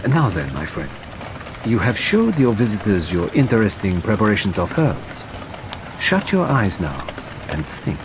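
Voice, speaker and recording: A man puts on a polite but commanding detective, measured and deliberate, his pitch moving widely. Constant rain hiss sits under the voice in this audiobook. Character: polite, authoritative male detective. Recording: steady rain noise behind.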